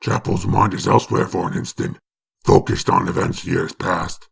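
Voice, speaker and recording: A man voicing a sinister, mocking demonic narrator in a comic dub, with a gravelly rasp. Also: low voice, fairly even intonation, moderate pace, full character voice. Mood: sardonic, sinister. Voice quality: gravelly, raspy.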